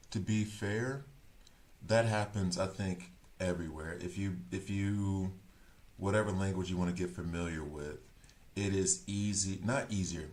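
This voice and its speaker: A man with a notably low voice chats casually on a game stream. He rambles, restarts his sentences and corrects himself as he works his thoughts out aloud. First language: English. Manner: talks things through as he thinks. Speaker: gaming streamer.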